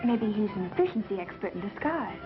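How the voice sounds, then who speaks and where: An actress playing a quick-witted, wry woman under suspicion in a 1930s mystery film. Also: mid-range voice, sing-song, lightly acted. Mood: dry. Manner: wry, sarcastic retorts. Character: quick-witted, sarcastic woman suspected of murder. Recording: muffled 1930s film soundtrack with music.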